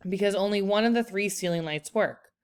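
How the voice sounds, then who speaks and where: A woman's voice: medium pitch, fairly quick, smooth, close to an everyday voice. A woman voicing a cautious, doubtful young grandson in a storybook, in a plain, hesitant, questioning manner. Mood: matter-of-fact.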